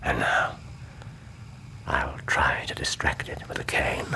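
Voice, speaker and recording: An actor playing a commanding, wry Victorian British gentleman detective in a radio drama, with a deliberate, theatrical delivery. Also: a bass voice, steady tone, gravelly, whispered, full character voice. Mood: grave, hushed.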